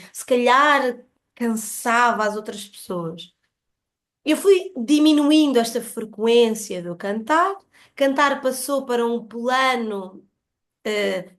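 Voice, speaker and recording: A woman with a European Portuguese accent speaking as a podcast guest, explaining personal experiences in an earnest, emphatic, reflective way. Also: fairly high pitch.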